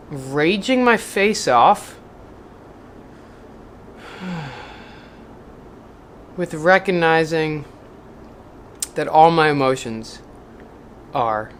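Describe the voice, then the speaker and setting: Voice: medium pitch, a little hiss or hum. Blunt, profane vlogger reading aloud. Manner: blunt and profane, rambling with fillers. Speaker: video maker reading a book aloud. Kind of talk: casual vlog with read-aloud passages.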